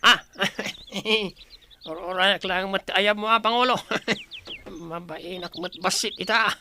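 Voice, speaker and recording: Man acting a high-pitched, loyal Ilocano warrior. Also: steady tone, slow pace, pushed and bright, a little hiss or hum, heavily acted. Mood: deferential, earnest. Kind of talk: Ilocano radio drama acting.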